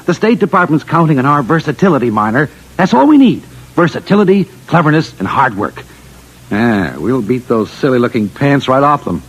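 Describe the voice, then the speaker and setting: An actor playing a brisk, confident engineer and team leader in an old-time radio drama, emphatic and take-charge. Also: male voice, medium pitch, big pitch swings, husky, rough recording. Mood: rallying, confident. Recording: vintage radio broadcast sound.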